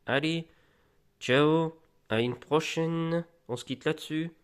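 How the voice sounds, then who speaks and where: A man with a slight cold, showing off flea-market finds in a rambling, halting, casual way, with many restarts. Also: a little high, fairly even intonation, clean tone. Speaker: collector vlogger. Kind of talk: casual show-and-tell.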